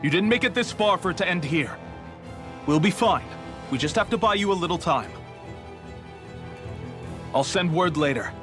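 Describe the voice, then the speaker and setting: Commanding game rebel, high voice, urgent, protective, barking orders, music underneath. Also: male voice, expressive, moderate pace, long pauses. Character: commanding male resistance fighter, protective and urgent. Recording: video game music behind.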